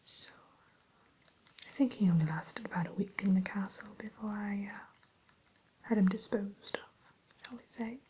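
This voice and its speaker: A woman's voice: mid-range voice, a little slow, long pauses, slight background noise, close to an everyday voice, poor audio. A woman playing a refined, sly evil queen shopkeeper in a whispered ASMR roleplay, with coy, hesitant, genteel sales talk. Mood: coy, wry. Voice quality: breathy, soft whisper. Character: refined, sly evil queen running an enchanted mirror shop.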